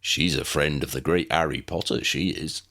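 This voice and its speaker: A man's voice: rumbling low, steady tone, moderate pace, pushed and bright, pitched below the performer's own voice, heavily acted. A man voicing a big, jovial, rustic gamekeeper teacher in an audiobook, hearty and folksy. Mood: hearty, welcoming. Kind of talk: audiobook character voice.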